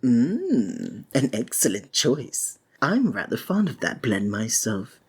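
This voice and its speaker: A very deep-voiced, flamboyant stage magician speaks in an ASMR roleplay with courtly, sinister charm. The husky delivery is slow and flowery, swooping theatrically through the phrases as it flatters the listener possessively. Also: female voice, full character voice. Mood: gracious, confiding.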